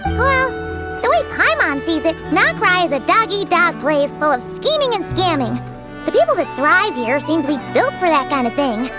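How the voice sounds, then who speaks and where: A woman voicing a small chirpy fairy companion in a video game, with a squeaky childlike voice, curious and earnest. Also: big pitch swings, normal speed. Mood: earnest, puzzled. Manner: refers to self in third person, exclaiming. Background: music.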